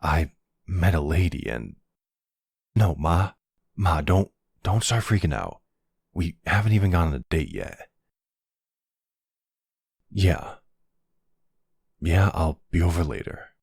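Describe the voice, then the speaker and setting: A man playing a charming middle-aged mafia boss, suave and flirtatious, with a gravelly, husky voice, in an intimate ASMR roleplay. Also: low voice, big pitch swings, slow pace, pauses often, a little mellow, dry recording, clean studio sound, full character voice. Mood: fond, exasperated. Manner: suave, courteous, teasing, uses pet names.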